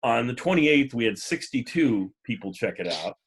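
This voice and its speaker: A man hosting a casual podcast, chatting in a rambling, jokey way with a husky voice. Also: mid-range voice, very animated, moderate pace. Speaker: podcast host.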